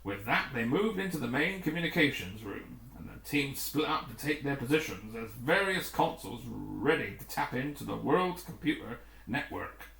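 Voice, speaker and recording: A man's voice: medium pitch, animated, normal speed, gravelly, a bit bright. A man reading a story aloud as scripted narration, occasionally stumbling and rereading his words. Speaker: audiobook reader. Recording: roomy, echoing room.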